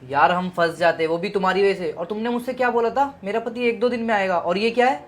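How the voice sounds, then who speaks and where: A woman voicing a nervous young male lover, speaking in a low put-on voice from a little way off the mic. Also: quick pace, natural voice. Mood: anxious.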